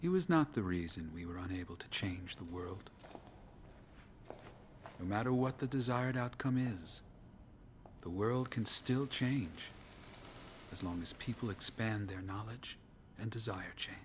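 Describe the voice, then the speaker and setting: Man acting a low, somber philosophical figure. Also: slight background noise, natural voice. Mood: grave, musing. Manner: grave, deliberate. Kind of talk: scripted dramatic monologue in a video game.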